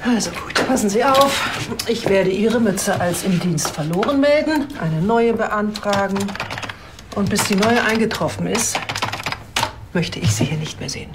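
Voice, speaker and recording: An actress playing a brisk, matter-of-fact police superior in a television crime comedy. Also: female voice, mid-range voice, noisy background, natural voice. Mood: stern. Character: brisk female police superior, strict but fair.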